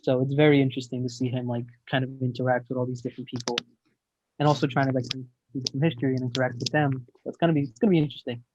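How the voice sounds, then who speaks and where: A man's voice: medium pitch, flat delivery, rapid-fire, background noise. A man chatting casually as a panelist on an online group call. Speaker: discussion panelist. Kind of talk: casual chat. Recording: dull lo-fi call audio.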